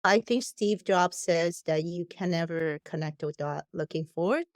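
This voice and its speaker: A woman's voice: lowish voice, moderate pace, no room sound. Woman w/ an accent, chatty podcast guest, laughs a lot, dry room. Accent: non-native English. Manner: lively, laughs easily, frank. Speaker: guest, company founder and CEO. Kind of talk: casual podcast conversation.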